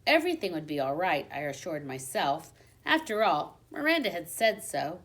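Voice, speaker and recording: A woman reading a children's book aloud like a teacher, with expressive storybook narration and dramatic emphasis. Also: lowish voice, moderate pace. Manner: dramatic emphasis on exciting moments.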